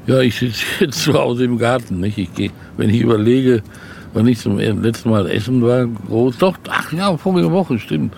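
A man's voice: mid-range voice, normal speed, vocal fry, a little mellow, slight background noise. An older man, a novelist, chatting in an interview and rambling through anecdotes. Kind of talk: casual interview chat.

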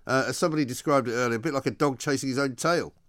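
A man hosts political talk radio, speaking very quickly. His manner is sardonic and dryly mocking, and the sound is close and dry, with no room echo. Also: mid-range voice, a bit bright. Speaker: talk show host.

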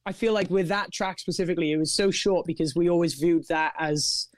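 High-voiced man, earnest and reflective. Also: fairly even intonation, brisk, dry recording, clean studio sound. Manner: earnest, reflective, says "you know" and "man" a lot. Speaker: vocalist and songwriter guest. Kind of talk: casual podcast conversation.

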